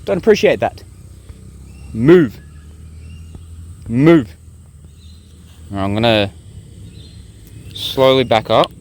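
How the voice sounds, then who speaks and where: A man's voice: mid-range voice, slow, pauses often. Rambling young man vlogging outdoors. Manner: rambling, relaxed, talks straight to viewers. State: sick, blocked nose and sore throat. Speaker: bushcraft vlogger. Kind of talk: casual outdoor vlog. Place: outdoors in the bush.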